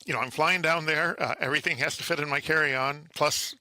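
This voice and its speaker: A man answering as an interview guest, explaining things conversationally in a halting way with frequent pauses and repeated words. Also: a little high, fairly even intonation, pushed and bright, dry recording. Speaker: guest, amateur radio contester.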